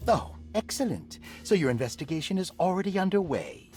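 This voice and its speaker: Suave, condescending doctor over music. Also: male voice, sits high, very animated, a little mellow. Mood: evasive, courteous. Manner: measured, polite, condescending. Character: suave, evasive male doctor, refined and condescending. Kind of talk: video game cutscene acting.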